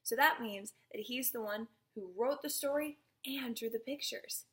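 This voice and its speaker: A young woman reading a picture book aloud to small children, asking them questions and often dropping into hushed asides. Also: fairly high pitch. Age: young adult. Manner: animated, asks the child listener questions and pauses for answers. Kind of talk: interactive storybook read-aloud for small children.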